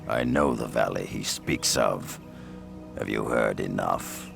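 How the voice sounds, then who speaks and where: An actor playing a grim, proud and vengeful beast-warrior rebel leader in a video game, with a deep gravelly growl and a terse, commanding delivery. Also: male voice, takes it slowly, over music, heavily acted. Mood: cold, menacing. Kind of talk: dramatic video game acting.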